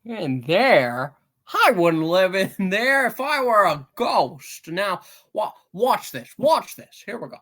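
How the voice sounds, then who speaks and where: A man's voice: high voice, very animated, slow, pushed and bright, full character voice. A man playing an earnest, restless, dreamy young small-town man in a hammed-up radio play reading, eager and theatrical. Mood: giddy, boyish.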